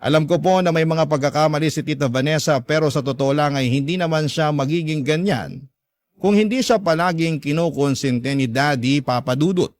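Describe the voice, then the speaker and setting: Filipino guy reading out a story on radio, voice a bit high, polite and confiding, no room echo. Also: brisk, studio quality. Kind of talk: dramatic first-person story narration.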